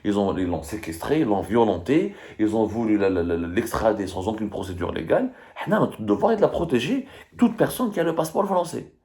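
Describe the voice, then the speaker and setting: A man with an Algerian accent speaks in a fairly low voice with very lively, swinging intonation. He comments like a live political host, picking statements apart emphatically and argumentatively, often repeating himself to drive a point home. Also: a little fast.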